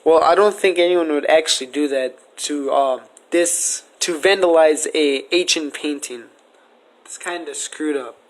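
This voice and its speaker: A man's voice: on the high side, a little slow. A man asking questions in a casual, conversational interview style. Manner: informal, conversational.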